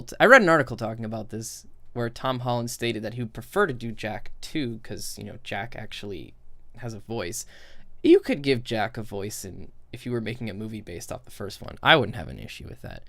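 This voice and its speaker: A man's voice: mid-range voice, a bit bright, no room sound. A man giving casual, opinionated stream commentary in a blunt, sardonic, sweary way. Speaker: streamer and critic.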